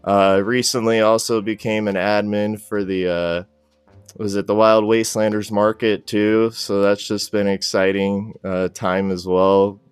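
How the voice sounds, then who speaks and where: A man's voice: fairly low pitch, flat delivery, a little slow, smooth, no echo, faint music behind. A man speaking as a podcast guest in casual interview chat, with a loose, rambling delivery.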